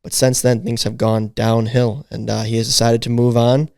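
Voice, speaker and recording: A man's voice: mid-range voice, flat delivery, normal speed, vocal fry, a little mellow, clear, resonant tone, no room sound, studio quality. A man hosting a casual sports podcast, leading the talk and asking questions. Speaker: podcast host.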